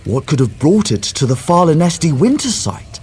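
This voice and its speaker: Anxious, lively scholar mage. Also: male voice, a little high, normal speed, a little hiss or hum. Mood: thoughtful, explanatory. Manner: formal, precise, articulate. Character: scholarly male mage and former priest, cautious, anxious. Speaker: quest-giving character. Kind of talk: video game dialogue.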